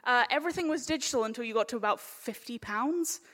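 A woman's voice: on the high side, animated, fast, a bit bright. A woman chairing a live panel informally, with a jokey, cheeky manner. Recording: echoey hall with long reverb.

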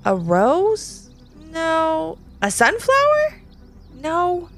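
A woman voicing a curious, excitable and slightly skeptical young girl in a children's story, often questioning and exclaiming. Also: very high voice, extremely slow, soft background music, pitched above the performer's own voice, full character voice. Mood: puzzled.

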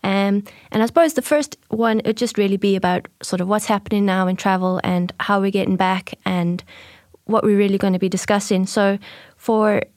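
A woman's voice: medium pitch, normal speed, a little mellow, no echo, clean studio sound. A woman with a South African accent co-hosting a podcast, chatting in a friendly way with frequent "um"s. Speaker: podcast co-host, marketing manager. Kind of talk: casual podcast chat.

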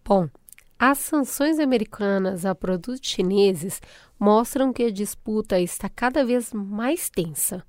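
A woman's voice: mid-range voice, measured pace, no echo, clean studio sound. A Brazilian woman co-hosting a podcast, reading scripted news segments and chatting playfully with guests. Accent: Brazilian Portuguese. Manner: lively, playful slang. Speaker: podcast co-host. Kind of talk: scripted news narration and casual chat.

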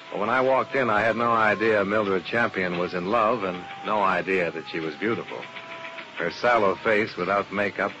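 An actor playing a wry, hard-boiled insurance investigator who also narrates a vintage radio drama in a dry, laconic way. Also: male voice, fairly low pitch, lightly acted. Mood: narrating. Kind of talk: 1950s radio drama, first-person narration. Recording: muffled, hissy vintage radio broadcast.

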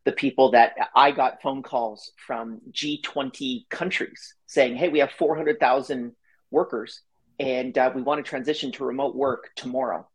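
A man speaking as a guest on a podcast, telling stories quickly and casually and laughing easily. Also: medium pitch, steady tone, husky, poor audio. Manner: quick, chatty storyteller who laughs easily. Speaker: podcast guest, company founder. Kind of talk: casual interview talk.

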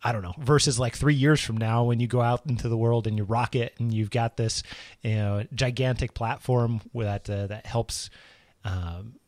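A man hosting an interview, supportive of his guest and halting, with many fillers and repeated words. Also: mid-range voice, fairly even intonation, darkish tone, no room sound. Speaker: podcast host. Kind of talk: interview conversation.

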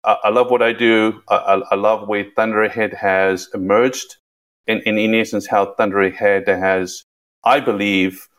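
A middle-aged man with a South African accent, slightly Americanized with a British touch, speaking as a podcast guest in a measured way and often repeating words as he starts a phrase. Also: on the low side, flat delivery, fairly bright tone. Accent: South African, somewhat Americanized with a British touch. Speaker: guest, tech executive. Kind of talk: podcast interview.

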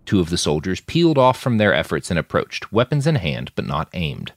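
A man's voice: sits low, wildly swooping pitch, fairly quick, dry recording, clean studio sound. A man reading scripted fiction as an audiobook-style podcast narrator.

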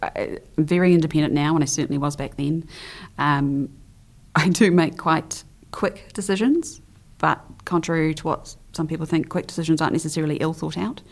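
Guest: young woman, low voice, chatty, hesitant with ums, from the Isle of Man. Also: fairly even intonation, normal speed. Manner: chatty, hesitant, with frequent ums and trailing phrases. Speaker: interview guest. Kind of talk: casual conversational interview.

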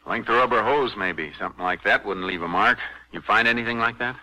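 Deep, forceful Texas Ranger. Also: male voice. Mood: measured, probing. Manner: clipped, deliberate, matter-of-fact. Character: calm, shrewd Texas Ranger investigator, adult man. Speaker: lead actor in radio drama. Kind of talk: 1950s radio western acting. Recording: noisy vintage 1950s radio recording.

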